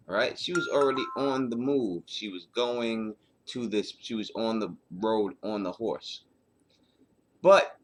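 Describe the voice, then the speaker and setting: A man hosting a book analysis, giving casual, off-the-cuff commentary with emphatic repetition and rhetorical questions. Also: medium pitch. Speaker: host of book analysis show.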